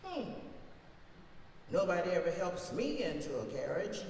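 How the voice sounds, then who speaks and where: Woman, theatrical reciter of a defiant old-time oration, slow and sweeping in pitch, distant in a boomy hall. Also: very animated, creaky, darkish tone. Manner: emphatic, theatrical, with long deliberate pauses. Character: formerly enslaved older woman orator, plain-spoken, defiant, wry. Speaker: reader performing a historical speech. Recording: very echoey hall from far off, faint noise.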